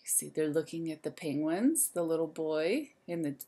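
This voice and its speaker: A woman's voice: deep voice, animated, normal speed, dark tone. A woman in her thirties, a teacher reading a picture book aloud to young children in a sing-song way, speaking to them directly.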